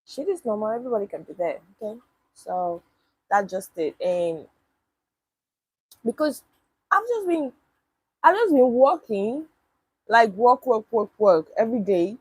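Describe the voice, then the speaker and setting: A young woman talks straight to her live chat in a husky, breathy voice, pitched a little high. She is blunt and swears freely when she answers comments. The sound is dry and close, with no room echo. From Nigeria. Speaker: live streamer. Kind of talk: casual live stream chat.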